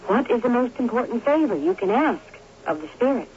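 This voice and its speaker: An actress playing a serene, mystical young woman in a radio drama, speaking in a deliberate, entreating manner. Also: a little high, big pitch swings, darkish tone. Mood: serene, persuasive. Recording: muffled, noisy old radio recording.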